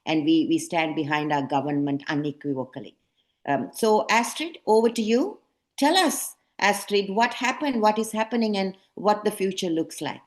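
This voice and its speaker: A woman's voice: mid-range voice, animated, normal speed. A woman hosting and moderating a panel program, speaking emphatically with frequent hesitations. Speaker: program host.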